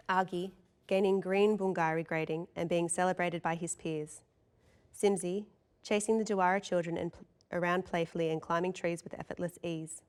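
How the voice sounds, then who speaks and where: A woman's voice: lowish voice, clear, resonant tone. A woman reading scene directions as the narrator of a screenplay table read.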